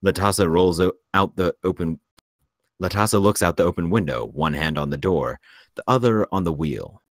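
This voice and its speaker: Very deep-voiced man narrating a screenplay. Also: moderate pace, no room sound, studio quality. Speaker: narrator reading stage directions.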